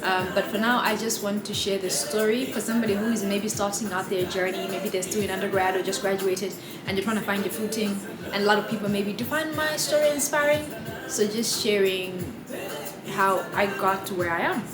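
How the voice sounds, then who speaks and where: A young woman talks casually and cheerfully to her camera at home, full of "like" and "um". Her voice sits in a middle register. Clear music plays behind her. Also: medium pitch, brisk, roomy sound. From Malawi. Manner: chatty, upbeat, lots of "like" and "um". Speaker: vlogger, content creator. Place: apartment with a river view.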